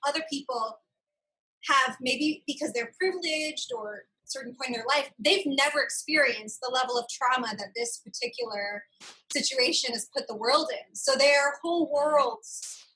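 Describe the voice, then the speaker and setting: A woman with a high-pitched, bright and forceful voice hosts a candid, casual conversation. She talks reflectively and articulately, giving emphasis to the points that matter to her. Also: poor audio. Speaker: podcast host.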